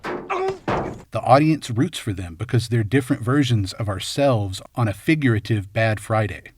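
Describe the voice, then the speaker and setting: A man narrating a scripted film analysis in measured, short punchy phrases. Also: mid-range voice, wildly swooping pitch, a little hiss or hum. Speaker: video essay host and narrator.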